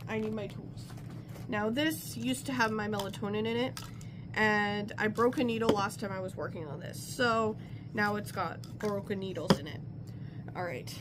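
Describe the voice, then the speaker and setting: A woman's voice: medium pitch, moderate pace, noisy background. Stuffy-nosed woman chatting while crafting. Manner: chatty, rambling, self-interrupting. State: stuffy nose from a cold. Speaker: crafting vlogger. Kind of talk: casual talk while crafting. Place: home crafting table.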